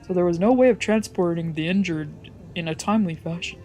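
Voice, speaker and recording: A streamer narrates a visual novel in the first person. The reading is dramatic and brooding, at a middle pitch, with game music underneath. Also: fairly quick, a little mellow. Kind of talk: dramatic narration with character voices.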